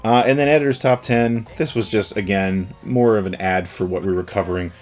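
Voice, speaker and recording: A middle-aged man with a fairly low voice talks through an old gaming magazine at an easy, meandering pace. He fills the gaps with ums and uhs, and faint music plays quietly behind him. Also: brisk. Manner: relaxed, rambling, with frequent fillers. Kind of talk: casual commentary.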